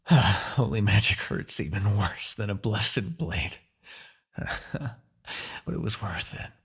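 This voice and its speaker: A man playing a proud, vengeful demon king in a dramatic fantasy audio roleplay, with a husky, gravelly voice and a theatrical, gloating manner. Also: on the low side, exaggerated voice. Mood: scornful, pained. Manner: theatrical, gloating, with sighs and dark chuckles.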